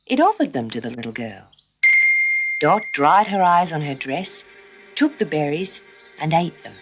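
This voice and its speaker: An expressive woman narrates a children's story at a slow, measured pace. Her pitch rises and falls widely, and she leaves dramatic pauses between phrases. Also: over music.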